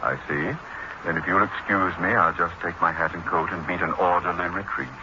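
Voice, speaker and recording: An actor playing an irritable middle-aged American school principal, pompous and formal, in 1940s radio comedy style. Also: male voice, low-pitched, fairly even intonation, brisk. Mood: exasperated, stiff. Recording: noisy, muffled 1940s radio broadcast recording.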